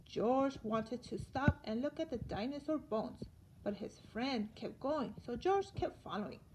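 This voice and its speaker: A woman teacher reading a children's story aloud in an expressive storytelling manner. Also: on the high side, a little slow. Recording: dull lo-fi sound with light noise.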